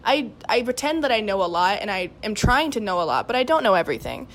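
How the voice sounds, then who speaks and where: A woman's voice: mid-range voice, sing-song, quick pace. A young woman in her late teens speaking casually and candidly as a guest, earnest, with lots of "like" in her sentences. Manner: earnest, heavy use of "like". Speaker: student cast member, podcast guest.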